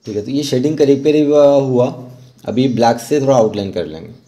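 A man narrating a step-by-step art tutorial as an instructor, often pausing mid-sentence. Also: medium pitch, warm tone.

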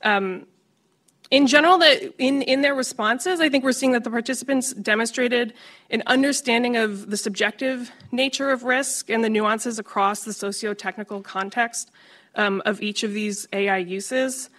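Woman presenting report findings on a conference stage; fluent, a touch high-pitched. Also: moderate pace, a bit bright. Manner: fluent, with frequent ums. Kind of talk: panel presentation.

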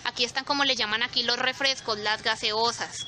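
A woman's voice: fairly high pitch, brisk, noisy background. A young woman, chatting to viewers as she films her walk through a supermarket. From Colombia. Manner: chatty, expressive, addresses viewers directly. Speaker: vlogger. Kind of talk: casual walk-through vlog. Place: supermarket aisles, indoors.

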